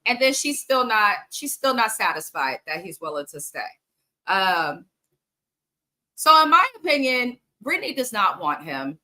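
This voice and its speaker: A woman's voice: mid-range voice, bright, forceful tone, rough recording. A woman hosting a casual, frank reality TV recap, chatting straight to her viewers and sniffly from allergies. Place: home in the Bay Area.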